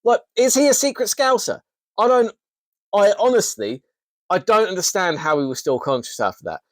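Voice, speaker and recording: A man's voice: high voice, animated, moderate pace, no echo. A man, hosting a casual, enthusiastic fight recap with frequent restarts. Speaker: host. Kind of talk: casual MMA recap commentary.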